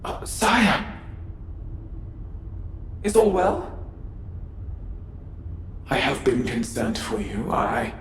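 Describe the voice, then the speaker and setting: An actor playing a loyal, weary servant commander in a video game, a man speaking formally and gravely. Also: mid-range voice, sing-song, drawn-out words, long pauses, a bit bright, exaggerated voice. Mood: anxious. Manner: deferential, grave, formal, pleading. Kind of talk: dramatic video game acting. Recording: echoey, noisy transmission.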